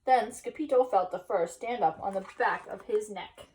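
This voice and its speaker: A woman reading a picture book aloud expressively, pausing to explain and ask children questions. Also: mid-range voice, recorded from a distance, rough recording. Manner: animated, pauses to explain and question. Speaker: teacher reading at school story time. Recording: voice some way from the mic.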